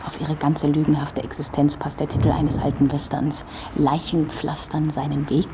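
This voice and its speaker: A career-hungry reporter in a radio drama, with a low-pitched voice. She is sardonic and provocative, pressing her accusations with pointed mockery. There is clear noise behind her. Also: level tone, moderate pace. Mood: accusing, sardonic. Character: sharp, mocking career-driven female journalist confronting a writer. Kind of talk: German radio play acting.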